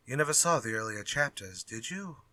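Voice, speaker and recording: An actor playing a glib, unafraid writer in a retro radio drama, with flippant, old-fashioned phrasing. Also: male voice, mid-range voice, lively intonation, fairly quick, pushed and bright, no room sound. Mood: curious, eager. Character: glib, unafraid male writer sparring with his villain.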